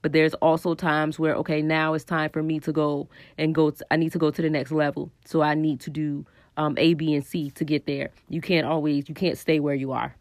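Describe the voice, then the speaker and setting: A woman's voice: low voice, flat delivery, brisk, few pauses, dry recording, clean studio sound. A woman hosting her own podcast, talking through a cold with a stuffy nose and scratchy throat, earnest and encouraging as she thinks aloud with plenty of ums. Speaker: podcast host. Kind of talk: casual solo podcast monologue on spiritual growth.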